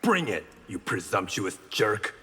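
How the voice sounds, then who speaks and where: A stoic, wry swordsman hero from a fighting game, talks with confident, varied intonation at a middle pitch. The line rings in reverb amid loud noise. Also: big pitch swings, measured pace. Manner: dry, confident. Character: stoic, dryly witty blind male swordsman hero. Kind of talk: video game hero banter.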